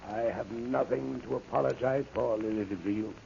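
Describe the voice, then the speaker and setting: An actor playing an anguished captive reverend, earnest and pleading, in an old radio serial. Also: male voice, medium pitch, normal speed, creaky, dark tone. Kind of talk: 1930s radio serial acting. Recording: noisy, muffled old radio recording.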